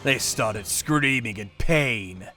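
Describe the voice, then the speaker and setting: Guy doing a grim vengeful torturer narrating a manga dub, slow and forceful, music underneath. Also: medium pitch, expressive. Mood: cold menace. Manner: deliberate, menacing. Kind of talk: dramatic first-person manga dub narration.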